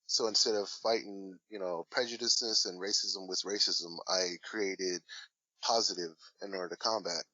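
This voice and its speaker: A low-pitched man chats casually as a guest, rambling along with plenty of ums and uhs. His voice sounds forceful and bright, recorded dry and close. The sound is a little dull and lo-fi. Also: fairly even intonation, normal speed, no echo. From Washington State, USA. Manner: rambling, hesitant with many fillers. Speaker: guest, hip hop artist. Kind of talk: casual interview talk.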